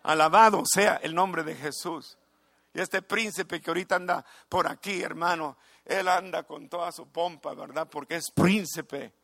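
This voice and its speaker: High, bright-voiced man preaching. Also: normal speed, vocal fry, dry recording. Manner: fervent preaching, rising to exclamations of praise. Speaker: pastor. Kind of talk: sermon.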